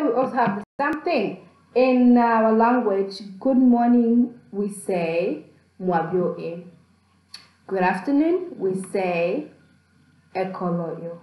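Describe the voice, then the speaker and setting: A young woman from the Democratic Republic of the Congo, a native Kibembe speaker, chatting upbeat on a webcam vlog in a small plain room, teaching words of her language. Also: mid-range voice. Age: young adult. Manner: chatty and upbeat, with fillers and restarts. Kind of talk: casual vlog lesson. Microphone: webcam in front of her. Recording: echoey room.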